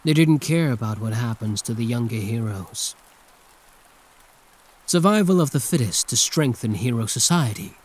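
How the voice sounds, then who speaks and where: A man's voice: medium pitch, lively intonation, moderate pace, close to an everyday voice. A man playing a condescending yet protective hero turned villain in an intimate, theatrical ASMR roleplay monologue. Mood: earnest, confiding.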